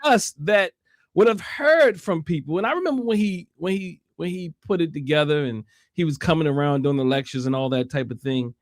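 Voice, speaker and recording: An American man hosting a casual livestream discussion, speaking haltingly and repeating phrases for emphasis. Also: fairly high pitch, dry recording, studio quality. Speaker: online show host.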